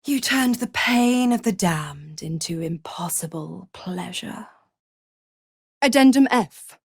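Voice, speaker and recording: A woman's voice: fairly low pitch, animated, takes it slowly, slightly rough, no echo, clean studio sound, exaggerated voice. A woman voicing a sly, seductive devil in a video game, mocking and condescending, with a teasing theatrical drawl. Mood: seductive, purring. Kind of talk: video game character acting.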